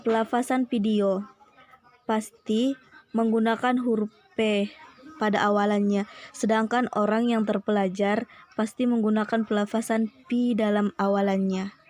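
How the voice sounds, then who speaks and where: A woman's voice: mid-range voice, level tone, measured pace, darkish tone, no room sound. A young woman presenting a school assignment, steadily reading through a list of lesson points. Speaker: student presenter.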